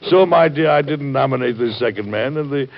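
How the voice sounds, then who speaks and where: A man's voice: mid-range voice, normal speed, lightly acted. Man acting an earnest American president, old radio sound. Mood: wryly amused. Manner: theatrical, emphatic delivery. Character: U.S. president, warm, earnest, wryly amused statesman. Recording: dull vintage radio recording.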